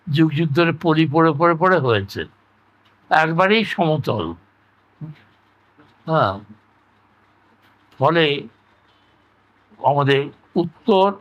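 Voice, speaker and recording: An older man with a West Bengal Bengali accent, explaining things in a halting, repetitive, conversational way as he reminisces. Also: fairly high pitch, rough recording. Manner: halting, repetitive explaining with frequent tag words. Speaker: interviewee recounting his experience. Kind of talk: conversational oral-history reminiscence.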